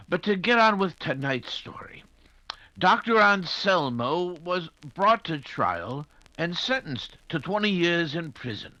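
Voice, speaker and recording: Man playing a lively, fussy English doctor. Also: a little high, slow pace, no room sound, heavily acted. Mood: dramatic storytelling. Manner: hesitant, fussy, avuncular. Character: genial, flustered English doctor narrating his detective friend's adventures. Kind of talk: 1940s radio drama storytelling.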